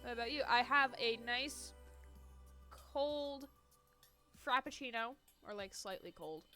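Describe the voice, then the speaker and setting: A woman's voice: on the high side, measured pace, pushed and bright, faint music behind. A young woman streamer who sounds congested, chatting casually and laughing as she plays a game live. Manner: chatty, laughs often, swears freely. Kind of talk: casual live gameplay commentary.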